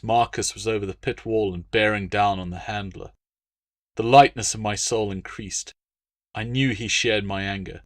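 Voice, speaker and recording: A man reading scripted first-person novel narration as an audiobook, in a measured, dramatic storytelling manner. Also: lowish voice, no echo, studio quality.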